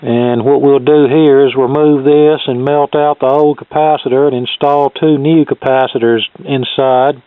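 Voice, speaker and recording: Male hobbyist demonstrator, mid-pitched, plain-spoken and unscripted, on a narrow phone-like recording with little room sound. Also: steady tone, a little slow, few pauses, smooth, no echo. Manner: plain-spoken, thinking aloud as he inspects. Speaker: vintage radio repair hobbyist presenter. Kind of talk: casual unscripted demonstration commentary. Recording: thin, phone-like band-limited sound.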